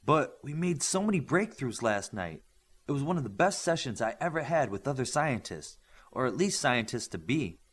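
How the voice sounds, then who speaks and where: A man's voice: mid-range voice, sing-song, normal speed, husky, voice pushed up. Man reading as eager young superheroine scientist. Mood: eager, defensive.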